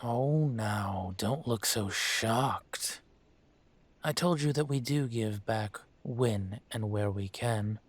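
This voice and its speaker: Man acting a slow, low-voiced dragon mafia boss. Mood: composed, authoritative. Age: older. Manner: smooth, deliberate, courtly with veiled menace. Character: suave, menacing, aging dragon mafia boss. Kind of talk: scripted roleplay audio drama.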